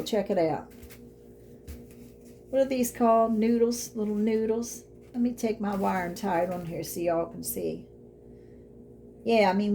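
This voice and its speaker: A woman's voice: mid-range voice, dark tone. A woman, losing her voice, chatting through a craft tutorial in an encouraging way. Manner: chatty, encouraging, says y'all a lot. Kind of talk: casual how-to vlog.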